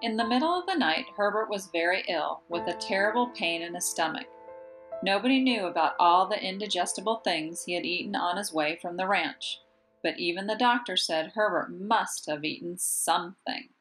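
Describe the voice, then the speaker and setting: A woman reading a children's picture book aloud as a teacher would, with deliberate emphasis on key words. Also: fairly low pitch, lively intonation, a bit bright, poor audio. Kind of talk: children's storybook read-aloud. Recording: voice over background music. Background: instrumental music.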